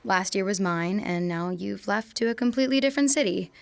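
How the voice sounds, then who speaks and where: Young woman doing a scared devoted girlfriend, quiet and shaky, kinda gravelly voice. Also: medium pitch, fairly quick, no room sound, close to an everyday voice. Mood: fearful. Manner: hushed, faltering, pleading. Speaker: radio drama actor. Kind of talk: student radio drama monologue.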